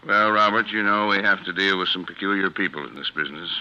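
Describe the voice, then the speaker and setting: A man's voice: low-pitched, quick pace, pushed and bright, slight background noise. An actor playing a fatherly, reassuring law office boss, middle-aged, with an American accent and an earnest manner, in 1940s radio style. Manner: earnest, avuncular. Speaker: defense attorney, head of a law office. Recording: muffled vintage radio recording.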